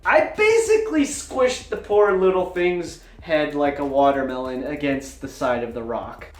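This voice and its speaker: A man in his forties telling a personal story casually as a gaming vlogger, with theatrical emphasis and dramatic pauses. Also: on the high side, sing-song. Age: 40s. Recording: roomy, echoing sound.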